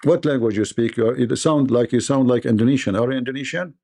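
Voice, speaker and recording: Guy hosting a debate stream, pushy and repetitive, darkish mellow voice. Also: mid-range voice, fast. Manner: combative, repetitive and quizzing. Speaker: host.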